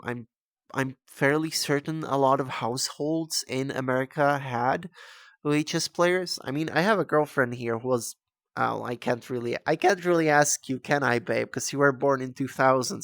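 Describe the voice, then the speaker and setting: A man in his twenties from the Czech Republic, a native Czech speaker, giving a casual, rambling, halting off-the-cuff video commentary as host. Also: mid-range voice, gravelly, a bit bright, no echo, studio quality. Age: 20s.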